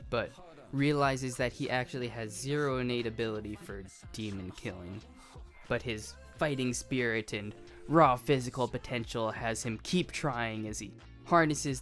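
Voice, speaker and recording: A video essayist giving scripted anime commentary in a conversational, self-aware way. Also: mid-range voice. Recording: music behind the voice.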